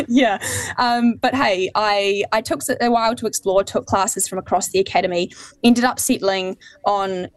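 A young woman in her twenties with a New Zealand accent, a guest on a radio interview, talking in a modest, lively way. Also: medium pitch, measured pace, dry recording. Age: 20s. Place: remote link.

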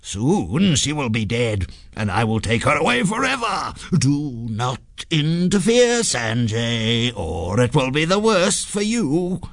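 A man's voice: mid-range voice, sing-song, slow, creaky, hardly any pauses, no room sound, clean studio sound, voice pushed up, heavily acted. A man voicing a wicked, bad-tempered male ghost as a storybook character, with a gleeful, menacing manner. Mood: menacing gloating.

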